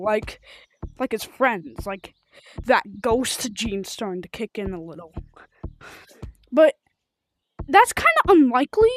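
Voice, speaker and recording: High, animated female game streamer. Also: no echo. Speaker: livestreamer of a video game. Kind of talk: rambling casual livestream chat while gaming.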